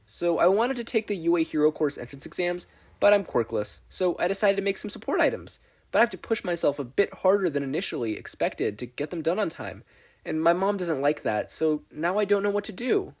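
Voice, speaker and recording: An earnest teenage boy, played by a man reading fiction speaks politely and a little hesitantly. His voice sits a bit high and moves up and down expressively. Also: big pitch swings, a little fast, husky, exaggerated voice.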